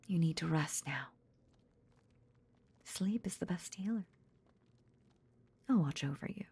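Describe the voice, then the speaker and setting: A woman playing a possessive lamia in an intimate roleplay audio drama, tenderly nursing in a breathy, half-whispered, seductive murmur with veiled menace. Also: fairly low pitch, animated, warm tone, dry recording, full character voice. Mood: soothing, caring. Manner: slow, soothing, seductive murmur.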